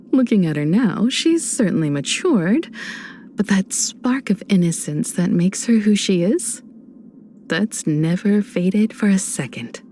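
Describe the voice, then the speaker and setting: Casting: composed female mentor and star traveler for a game; very slow, dark-toned delivery, playful warmth, measured and thoughtful. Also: mid-range voice, animated, slight background noise. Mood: fond, amused. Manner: measured, reflective, gently teasing. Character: composed adult woman space traveler, warm mentor, playful. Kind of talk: video game character voice lines.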